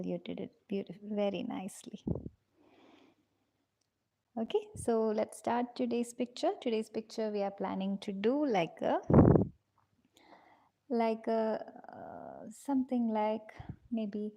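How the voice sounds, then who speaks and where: A woman teaching a live online drawing class, gentle and patient, calling her students dears and guiding them step by step. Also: lively intonation, measured pace, creaky, long pauses, noisy background. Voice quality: low-pitched voice, in her own words.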